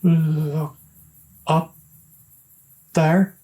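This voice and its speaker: A man's voice: on the high side, fairly even intonation, very slow, mellow, pitched above the performer's own voice. A man voicing a timid young boy, an easily frightened little brother in a storybook tale. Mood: nervous.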